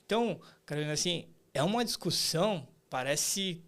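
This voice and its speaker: A man's voice: a little high, expressive, normal speed, a bit bright. A man in his late thirties with a Brazilian accent, explaining things conversationally as an interview guest, emphatic and colloquial, often tossing in rhetorical questions. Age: late 30s. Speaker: podcast guest, artificial intelligence expert and professor.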